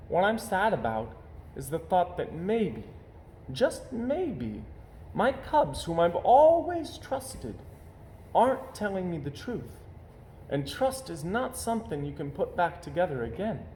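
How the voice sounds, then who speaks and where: A man voicing a gentle, sorrowful mother bear in a storybook, with earnest, drawn-out phrasing. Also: on the high side, sing-song, pitched above the performer's own voice. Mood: sad, disappointed. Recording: roomy echo, faint background noise.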